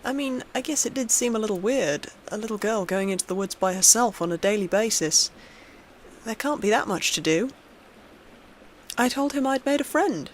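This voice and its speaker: A woman's voice: on the high side, expressive, moderate pace, a little hiss or hum. A woman reading a scripted horror story aloud as its narrator.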